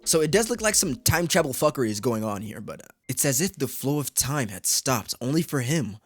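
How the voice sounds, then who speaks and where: A man's voice: mid-range voice, dry recording. A man reading a visual novel aloud as a streamer, mixing dramatic narration with casual commentary, his voice a little tired. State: voice tiring.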